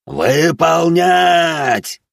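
Man acting a yelling, frantic bossy director. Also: a little high, sing-song, drawn-out words, creaky, no echo, studio quality, pitched above the performer's own voice, exaggerated voice. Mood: panicked fury. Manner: shouting, commanding. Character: frantic, domineering male gym director, yelling orders.

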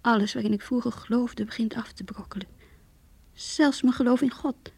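A lovesick young woman speaks with a breathy, somewhat high voice in a very dry, close sound. She is earnest and intimate, as if confiding a diary in a radio drama. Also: fairly even intonation, normal speed, darkish tone, no echo, heavily acted. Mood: hurt, sorrowful. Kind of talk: Dutch radio play, diary monologue and dialogue.

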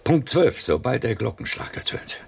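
An actor playing a proud, bitter old nobleman in a German radio play, with a gravelly, husky voice and a pompous, brooding manner. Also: mid-range voice, very animated, moderate pace, full character voice. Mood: imperious, generous. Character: proud, bitter old nobleman over seventy, worldly gourmand.

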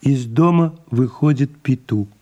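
A man's voice: mid-range voice, a little slow, dark tone, muffled recording. A man narrating an audio drama, reading out short scripted stage directions.

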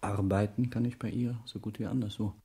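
An actor playing a reserved, detached academic of about thirty in a German radio play, with a husky voice and a dry, curt manner. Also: male voice, on the low side, steady tone, a little fast, dark tone.

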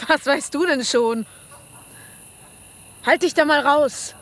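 An actress playing a sharp, dismissive young woman in a radio drama, scornful and drawn-out. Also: sits high, full character voice.

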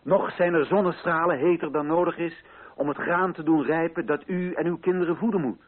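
A man playing an earnest colonial official who addresses local chiefs in solemn, measured, theatrical oratory, as in an old radio drama. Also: fairly high pitch, fairly even intonation, close to an everyday voice. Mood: fervent, solemn. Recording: muffled lo-fi old radio recording.

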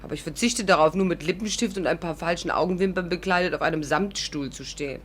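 A woman's voice: lowish voice, quick pace, slight background noise, natural voice. An actress playing a witty, stubborn young woman in a 1950s German radio play, with ironic, theatrical banter. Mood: indignant, sardonic. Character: witty, stubborn young English actress, hat lover, narrating. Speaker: actress narrating and playing the heroine. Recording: 1950s radio recording, music behind.

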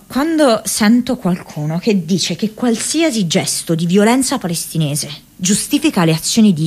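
An actress playing an earnest, distressed young woman activist in a radio monologue, impassioned and halting, repeating words as she goes. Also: mid-range voice, slightly rough. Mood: indignant, insistent. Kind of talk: dramatic radio monologue from diaries and letters.

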